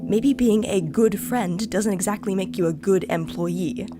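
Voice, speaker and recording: Woman playing curt disapproving mission coworker, mid pitch, dry sarcasm, music going behind. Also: unhurried, close to an everyday voice. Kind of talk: audio drama acting.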